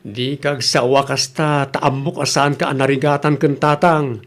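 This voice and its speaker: A man's voice: mid-range voice, flat delivery, unhurried, lightly acted. A man acting an elderly, homely father who is plain-spoken and a little stubborn, in an Ilocano-accented comedy radio drama. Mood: firm, questioning.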